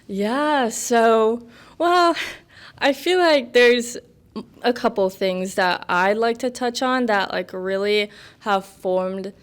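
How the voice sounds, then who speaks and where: Chatty young woman, smooth voice. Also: on the high side, slow pace. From United States. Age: 20s. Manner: chatty, rambling, many fillers and laughs. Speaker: podcast guest. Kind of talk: casual interview chat.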